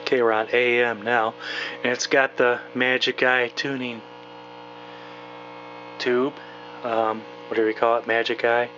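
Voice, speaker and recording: American man over loud hum, dull lo-fi sound. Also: mid-range voice, flat delivery, a little slow, pauses often. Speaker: radio owner demonstrating a vintage set. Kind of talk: casual unscripted demonstration. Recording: dull lo-fi home camera, loud radio hum and static. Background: old radio humming and playing.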